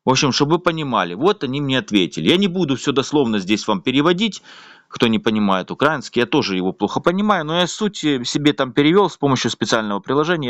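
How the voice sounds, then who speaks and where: A man's voice: mid-range voice, no echo, dull audio. A man with a voice, complaining at length in a casual, rambling video monologue full of fillers. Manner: indignant, rambling, with frequent fillers. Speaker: vlogger.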